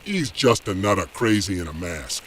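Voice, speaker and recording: An actor playing a rough, brash 1920s gangster with slangy, excitable talk in a radio crime drama. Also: male voice, low-pitched, moderate pace, distant mic. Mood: scornful, alarmed. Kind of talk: old-time radio crime drama acting. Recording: noisy background ambience.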